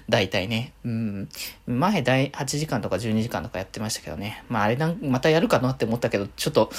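A woman hosting a casual solo radio chat, rambling along in a chatty, giggly way. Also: low voice. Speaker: solo podcast host and game streamer.

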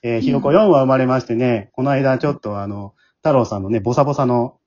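A man's voice: medium pitch, a little fast, darkish tone. A man hosts a casual podcast round-table, mixing polite announcer phrasing with chatty asides. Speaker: host, leader of an amateur drama group. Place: at home, remote group call. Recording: dull remote home recording.

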